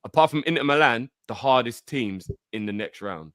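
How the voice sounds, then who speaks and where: A man's voice: mid-range voice, lively intonation, moderate pace, fairly bright tone, dry recording, clean studio sound. A man on a football podcast panel, arguing his picks emphatically and swearing casually.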